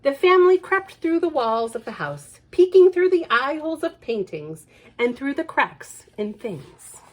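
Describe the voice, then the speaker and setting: A woman, a children's librarian, reading a picture book aloud at storytime, expressive and breaking off for chatty asides to the children. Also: high-pitched, a little slow, muffled recording.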